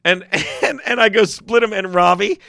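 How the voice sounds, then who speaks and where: A man's voice: high-pitched, moderate pace, gravelly, no echo, clean studio sound. A man, a comedian telling stories in a casual podcast chat, laughing often and acting out quotes. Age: 50s. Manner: animated storyteller, laughs often, acts out quotes. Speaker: guest comedian.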